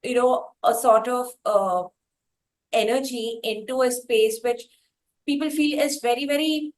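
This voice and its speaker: Female interviewer, higher-pitched. Deliberate, wordy, halting questions with repeated words. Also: fairly even intonation. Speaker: interviewer and host.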